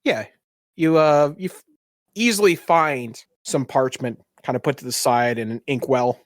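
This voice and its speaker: A man's voice: mid-range voice, a little slow, no echo. A man narrates a tabletop game as its game master. His voice sits in a middle register, recorded close and dry. He talks colloquially, slipping between storytelling and joking banter. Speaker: game master and show host.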